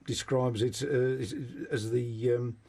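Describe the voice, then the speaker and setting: A man hosts a radio discussion in a mellow voice with some huskiness. His pitch is mid-range. He talks conversationally and puts emphasis on what strikes him. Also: steady tone, takes it slowly, breathy edge. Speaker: radio host.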